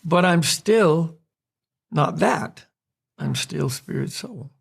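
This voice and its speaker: An American man from North Carolina, a podcast host calmly explaining spiritual ideas in a reflective, unhurried way. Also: on the high side, gravelly, husky. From North Carolina, USA. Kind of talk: spiritual discussion.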